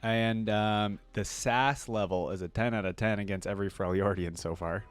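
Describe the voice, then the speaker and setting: A man's voice: on the low side, normal speed, smooth. A man giving casual reaction commentary as a video host, informal, slangy and rambling.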